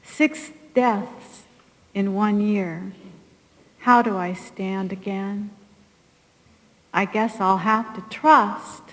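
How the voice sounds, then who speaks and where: A woman's voice: medium pitch, steady tone, extremely slow, pauses often. A middle-aged woman, a soldier's mother, reads her own emotional poem in emphatic, weighted phrases. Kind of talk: emotional poetry reading. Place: large hall at a public ceremony. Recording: echoey hall with long reverb.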